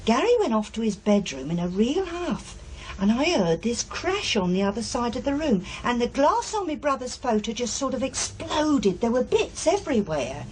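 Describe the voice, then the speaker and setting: Harried English working-class mother for radio drama: blunt, nagging, very animated delivery, faint background noise. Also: fairly high pitch, moderate pace, low-quality recording. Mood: chatty recounting. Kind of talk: naturalistic radio drama acting.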